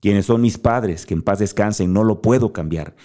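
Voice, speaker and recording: A man's voice: fairly low pitch, moderate pace, warm tone. A Mexican man hosting a podcast, giving an earnest motivational monologue straight to the listener. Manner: earnest, speaks directly to the listener. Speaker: podcast host.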